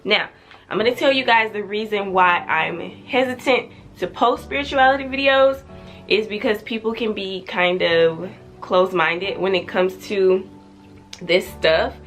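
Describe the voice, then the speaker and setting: A woman's voice: medium pitch, unhurried, a bit bright. A young woman chatting to her viewers with a slightly stuffy, congested nose. She talks in an easygoing, repetitive way and stresses words by saying them again. Faint music plays behind her. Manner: chatty, repeats words for emphasis. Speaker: channel host. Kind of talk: casual vlog chat.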